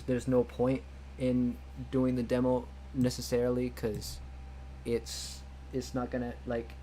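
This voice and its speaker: A man's voice: mid-range voice, slight background noise. Rambling young male streamer. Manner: rambling, full of restarts and "like"; laughs easily. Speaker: streamer playing a game mod. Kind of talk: casual chat over a game stream.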